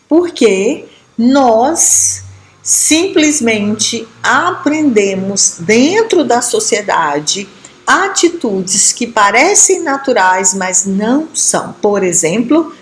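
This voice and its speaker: A woman from Brazil giving a sociology lesson, explaining step by step and checking in with quick tag questions. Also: a little high, echoey room. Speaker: sociology teacher.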